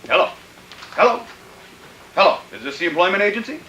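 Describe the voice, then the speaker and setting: An actor playing a sinister, gleefully deranged killer who poses as a polite employer, fussing and repeating himself in old-time radio thriller style. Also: male voice, sits high, sing-song, a little fast, pushed and bright, echoey room, slight background noise, exaggerated voice, poor audio. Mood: brisk, agitated. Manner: fussy, repetitive, muttering to himself.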